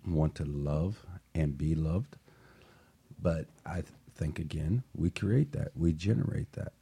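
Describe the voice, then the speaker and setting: A man with a very low, somewhat husky voice hosts a radio talk show. He sets out his opinions in a reflective, halting manner, often repeating a word before going on. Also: dark tone.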